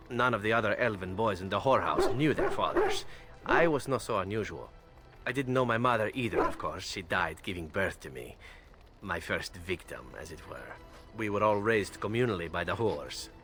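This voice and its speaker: A man playing a roguish elf in a video game, wry, playful and nonchalant. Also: fairly low pitch. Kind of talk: video game character acting. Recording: music under the voice.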